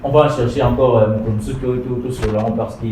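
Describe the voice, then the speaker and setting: A man's voice: medium pitch, steady tone, dark tone. Hesitant man hosting, echoey and distant. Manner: halting, many fillers like "bah" and "euh". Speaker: host. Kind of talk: moderating a casual panel. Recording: echoey, distant mic, faint noise.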